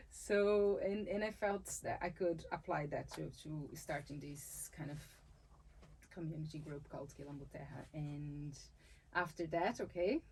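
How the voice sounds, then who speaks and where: Low, gravelly-voiced Brazilian woman. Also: unhurried, darkish tone, a little hiss or hum, low-quality recording. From Salvador, Bahia, Brazil. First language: Portuguese. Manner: thoughtful, restarts phrases, laughs easily. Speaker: dancer and capoeira instructor, interview guest. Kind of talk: conversational interview.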